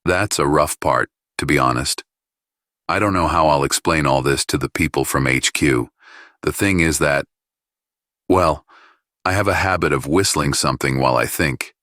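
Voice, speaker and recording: Awkward, sheepish male pilot, voiced by a man reading, low bass, dry audiobook dialogue. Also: moderate pace, pushed and bright, dry recording. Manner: hesitant, embarrassed.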